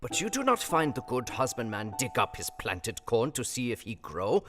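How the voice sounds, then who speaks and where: An actor playing a wise older professor in an audio drama, kindly and grave, with emphatic, halting counsel in foreign phrasing. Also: male voice, mid-range voice, sing-song, lightly acted. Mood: playful, instructive. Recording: long reverb, music behind. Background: guitar music.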